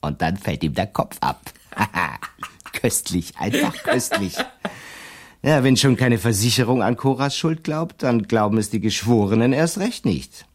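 An actor playing a shrewd, smug, greedy lawyer in a German radio drama, with a theatrical, self-satisfied way of telling things. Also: male voice, fairly low pitch, animated, a little slow. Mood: gloating, amused.

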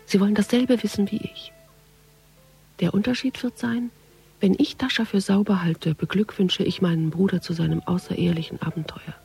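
A creaky, hushed female voice over music. She is a wry police detective in a radio crime drama, reasoning through a case in a reflective inner monologue. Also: medium pitch, steady tone, moderate pace. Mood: brooding, analytical.

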